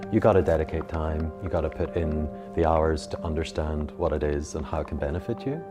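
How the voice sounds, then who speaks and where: A man with a Belfast accent, a meditation teacher, speaking slowly and with long pauses. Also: extremely low pitch, music in the background. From Northern Ireland. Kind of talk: reflective personal account.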